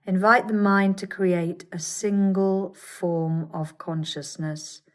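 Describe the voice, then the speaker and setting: A woman teaching yoga nidra, guiding a meditation with soothing, measured instructions and gentle pauses. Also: fairly low pitch, fairly even intonation. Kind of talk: guided meditation.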